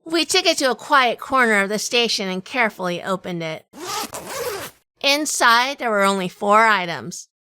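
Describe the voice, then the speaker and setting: A woman playing a tough, wary noir heroine who narrates her crime story in a crisp, self-possessed way. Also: mid-range voice, lively intonation, normal speed, pushed and bright, a little hiss or hum. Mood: wary, cool. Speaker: narrator and lead actress of audio drama.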